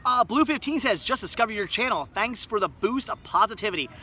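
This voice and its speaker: A man's voice: high-pitched, animated, brisk, bright, forceful tone, a little hiss or hum. A man in his late thirties hosting a livestream outdoors, chatting casually and rambling as he reads viewer comments with self-mocking humor. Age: 30s. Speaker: livestream host. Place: outdoors at a resort.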